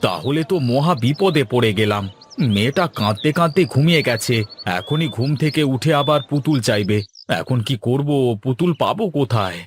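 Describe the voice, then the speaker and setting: A man's voice: mid-range voice, animated, moderate pace, soft background music, close to an everyday voice. A man voicing a worried, doting village master and father in a cartoon, dramatic and fretful. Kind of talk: cartoon voice acting.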